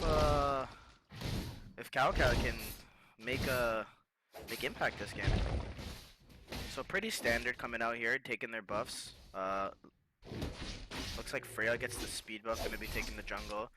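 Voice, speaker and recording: A man commentating a live esports match with excitable play-by-play. He is a little sick and sniffling. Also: normal speed, long pauses. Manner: excitable play-by-play, rises high in fights. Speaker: esports caster. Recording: noisy live stream mix.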